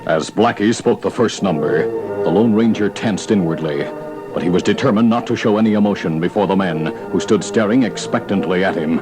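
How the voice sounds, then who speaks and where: A man's voice: sits low, brisk. An American man narrating a vintage radio adventure in a formal, emphatic, theatrical style. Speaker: announcer and narrator. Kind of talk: dramatic 1940s-50s radio narration. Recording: old radio recording with loud music. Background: loud music.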